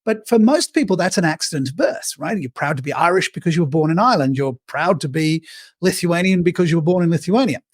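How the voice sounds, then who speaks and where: A middle-aged man from England with an Australian-tinged English accent, speaking as an interview guest over a video call and acting out quoted thoughts in lively asides. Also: a little high, fast, dry recording, clean studio sound. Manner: animated storyteller, acts out quoted inner dialogue. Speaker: guest speaker on self-leadership. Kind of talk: conversational interview answers. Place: bright room with arched windows, indoors.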